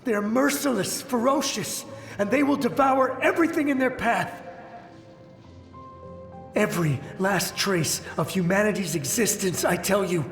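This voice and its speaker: Ghost soldier, high and pleading, slow words, lots of echo around. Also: male voice, creaky, a bit bright, soft background music, full character voice. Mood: frantic. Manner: pleading, agitated, urgent. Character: desperate, haunted male soldier's ghost, frantic. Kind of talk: video game voice acting. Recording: echoey, reverberant.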